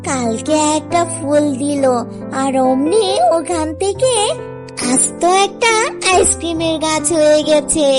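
A woman's voice: sits high, takes it slowly. A woman voicing a stern, protective cartoon mother fox who scolds and warns with suspicion. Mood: alarmed, stern. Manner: scolding, emphatic warnings. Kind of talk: children's cartoon voice acting. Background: children's music.

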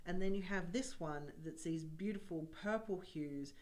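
A woman's voice: fairly low pitch, normal speed, a little mellow. A woman, interviewing an author with effusive praise and many fillers. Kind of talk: friendly interview. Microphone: table mic on a stand.

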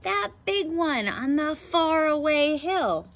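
A woman's voice: high-pitched, big pitch swings, takes it slowly, a bit bright, voice pushed up, exaggerated voice. Woman playing a blunt lion teacher. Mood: impatient. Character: bossy male lion teacher, blunt and unimpressed.

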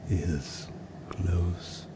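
An actor playing an eerie, menacing voice of doom in a video game. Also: male voice, deep voice, steady tone, background noise. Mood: ominous, menacing. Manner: slow, menacing, doom-laden.

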